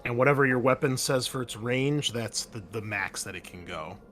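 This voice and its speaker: A man's voice: medium pitch, normal speed, a bit bright, soft background music. A man running an online tabletop game as game master, rambling with many fillers and self-corrections.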